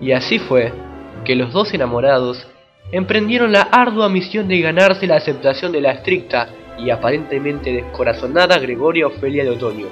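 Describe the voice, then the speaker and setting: A man's voice: fairly high pitch, expressive, moderate pace, lo-fi sound. Florid male narrator over music. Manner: florid, melodramatic. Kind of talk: scripted mock-romantic narration.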